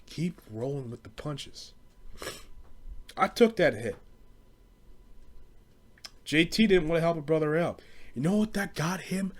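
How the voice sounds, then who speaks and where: A man's voice: fairly high pitch, animated, unhurried, long pauses, slight background noise. A young American man rambling through a casual, profane comedic rant as a podcast host, in slangy speech. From southeastern Pennsylvania, US.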